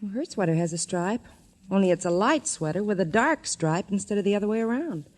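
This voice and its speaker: A woman playing a sharp, caring detective's assistant in a 1940s radio drama, brisk, observant and straightforward. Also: mid-range voice, expressive, close to an everyday voice. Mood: curious.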